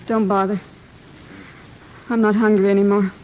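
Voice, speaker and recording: A woman acting as a weary American female informant, terse and blunt. Her mid-pitched voice comes through a dull, noisy old radio drama recording. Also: level tone, normal speed, lightly acted. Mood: tense, weary.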